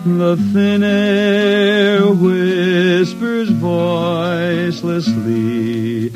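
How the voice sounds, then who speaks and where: A blind wandering balladeer of the spaceways, a country man from the Ozark hills with a fairly high voice. He talks in rustic dialect, gruff yet dreamy, in vintage radio drama style with music clearly behind him. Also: extremely slow. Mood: solemn recitation. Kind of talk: mid-century radio drama acting.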